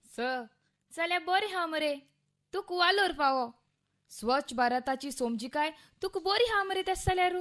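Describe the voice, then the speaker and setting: A woman playing a brisk, indignant government garbage collector with a Goan accent in a radio play. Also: extremely high pitch, expressive, fairly bright tone, heavily acted. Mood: officious, explaining. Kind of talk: Konkani radio play acting.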